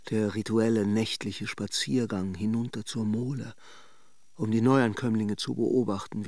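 A suave gossipy holiday idler, lowish gravelly voice, musing, radio play style, very dry sound. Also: male voice, dry recording. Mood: dreamy musing. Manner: theatrical, musing, ironically courteous. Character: suave, gossipy male holiday idler watching café guests. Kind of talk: radio drama monologue.

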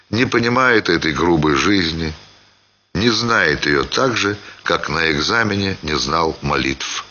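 Deep, animated male storyteller. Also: highs cut off, a little hiss or hum. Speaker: actor reading a short story. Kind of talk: expressive scripted literary narration.

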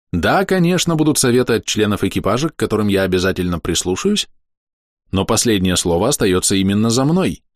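A man narrating a novel in the first person, telling the story in a measured, conversational way. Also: on the low side, no echo, studio quality. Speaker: audiobook narrator.

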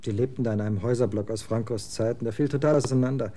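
A man's voice: mid-range voice, close to an everyday voice. An actor playing a scornful young man of twenty in a radio drama, talking in blunt slang. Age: 20s. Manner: slangy, scornful, quick to get excited. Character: young man, 20, moody rocker son, scornful. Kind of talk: German radio drama acting.

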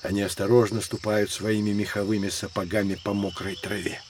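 A man playing a knowledgeable guide in an educational audio drama, explaining things in a measured, instructive way. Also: fairly low pitch, little pitch movement, slight background noise, lightly acted. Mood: explanatory. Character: knowledgeable adult guide leading children through prehistory.

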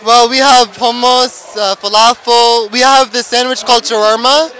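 A teenage boy with a very high, bright voice speaks casually at an outdoor festival. He pauses often and leans on "like" as he hunts for words. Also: steady tone, a little hiss or hum, rough recording. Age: teens. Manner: hesitant, lots of "like" fillers. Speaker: interviewee. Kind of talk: casual street interview.

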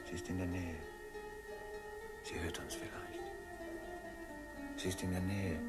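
Deep, brooding merchant. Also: male voice, wildly swooping pitch, a little slow, over loud music, full character voice. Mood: brooding, haunted. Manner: grave, fatalistic. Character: brooding, haunted merchant who foresees his doom. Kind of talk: German radio play acting.

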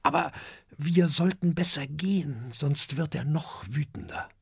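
A storybook narrator voicing an earnest, polite young male dragon, a son with a gentle, hesitant way of talking. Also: medium pitch, takes it slowly, no room sound, studio quality, pitched above the performer's own voice, natural voice. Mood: hushed, cautious.

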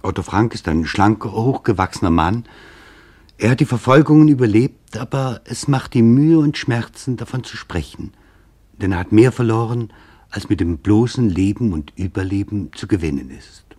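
A husky man's voice, a little low, delivers scripted documentary narration. He is solemn and formal, shaping literary sentences deliberately as a radio presenter. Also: lively intonation, mellow.

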